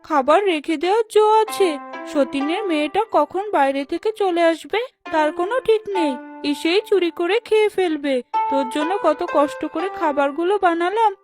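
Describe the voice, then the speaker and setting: A woman playing a cruel, scheming stepmother in a children's cartoon, with a sharp, domineering manner. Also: extremely high pitch, normal speed, over music.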